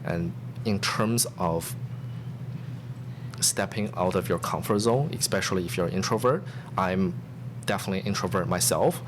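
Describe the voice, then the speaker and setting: A man's voice: low-pitched, moderate pace. A man in his thirties whose first language is not English, answering interview questions earnestly with some restarts. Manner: earnest, reflective, with frequent restarts. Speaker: podcast guest, staff software engineer. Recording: steady background noise.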